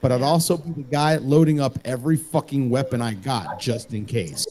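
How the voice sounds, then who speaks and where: A man's voice: mid-range voice, brisk, background noise. A man hosting a casual group talk show, rambling and joking, swearing freely and repeating phrases for emphasis.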